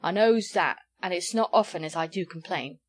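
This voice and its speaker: A woman doing a doleful old rustic villager, quiet and grumbling. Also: lowish voice, moderate pace, lightly acted. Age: 70s. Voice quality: quiet, mournful, rustic drawl. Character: elderly male village sage, doleful and pompous.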